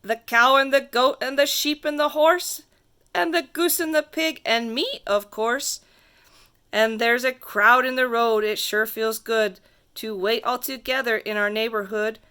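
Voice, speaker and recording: A woman reading a rhyming picture book aloud to children in a theatrical, sing-song way with drawn-out emphasis. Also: fairly high pitch, sharp tone, clean tone. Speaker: storybook reader.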